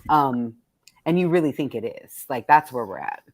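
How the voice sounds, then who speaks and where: Very low-voiced woman, candid and informal. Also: expressive, normal speed, darkish tone, dry recording. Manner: candid, informal, salty-tongued. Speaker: poet and event host. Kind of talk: casual, reflective talk introducing a poetry reading.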